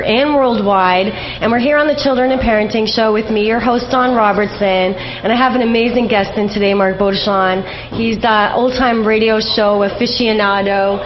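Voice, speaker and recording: A woman's voice: a little high, normal speed, few pauses, soft background music. A woman hosting a radio show, chatting casually with a guest in an interview. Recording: slightly dull, noisy radio recording.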